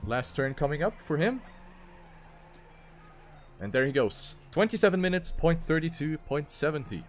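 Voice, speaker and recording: A man's voice: on the high side, lively intonation, moderate pace. A man doing live commentary on an esports racing game as one of the casters. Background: music.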